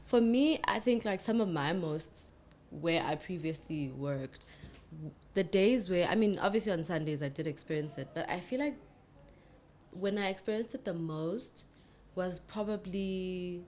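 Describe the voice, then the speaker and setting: A woman's voice: on the low side, unhurried, darkish tone, a little hiss or hum. A young woman co-hosting a podcast, talking in a chatty, rambling way with many restarts. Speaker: podcast co-host. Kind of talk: casual chat.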